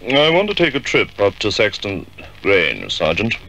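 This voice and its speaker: An actor playing a brisk senior police officer in a 1950s radio drama. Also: male voice, on the low side, wildly swooping pitch, bright, forceful tone, full character voice. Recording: noisy old 1950s radio recording.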